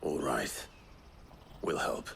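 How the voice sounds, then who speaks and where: An actor playing a terse, earnest male adventurer in a fantasy video game, speaking in a measured way. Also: gravelly, fairly bright tone, a little hiss or hum, exaggerated voice. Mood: terse, businesslike. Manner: terse, low-key, measured. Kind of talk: dramatic video game acting.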